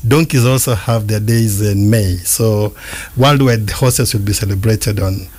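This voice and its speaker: A middle-aged man, a veterinarian, explaining things slowly on a panel with many pauses. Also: on the low side, darkish tone, slight background noise. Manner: measured, explanatory, halting with fillers. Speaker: veterinarian guest. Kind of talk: casual radio panel chat.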